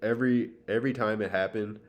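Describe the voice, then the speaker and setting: A man's voice: fairly even intonation, fast, lo-fi sound. An American man co-hosting a podcast, giving blunt opinions on TV in casual, profane slang. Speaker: podcast co-host. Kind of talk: casual TV discussion chat. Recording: faint background noise and rumble.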